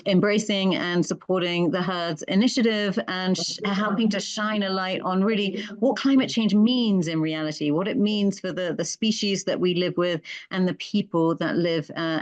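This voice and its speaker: A woman's voice: lowish voice, no room sound. Earnest British woman, dry sound. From Britain. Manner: earnest, thoughtful, with frequent fillers and restarts. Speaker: conservation expert guest. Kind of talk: interview answers.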